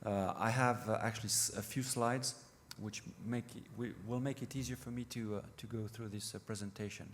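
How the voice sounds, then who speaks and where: Middle-aged French-accented man, lowish voice, hesitant conference talk in a reverberant hall. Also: fairly even intonation. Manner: halting, many fillers and restarts. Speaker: panel speaker. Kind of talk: conference presentation. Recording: reverberant hall with faint noise.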